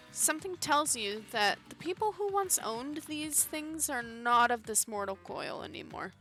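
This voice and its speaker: A woman streamer reads a dating game aloud and breaks off for sarcastic jokes. Her voice is bright and fairly high, with a lively, bouncing pitch. Faint game music sits in the background. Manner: playful, sarcastic asides.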